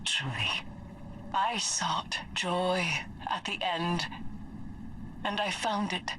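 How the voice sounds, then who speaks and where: Slow, low-voiced solemn fallen warrior woman. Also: sharp tone, far from the mic, slight background noise, exaggerated voice. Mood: solemn, tender. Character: solemn fallen female warrior bidding farewell. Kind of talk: dramatic game monologue.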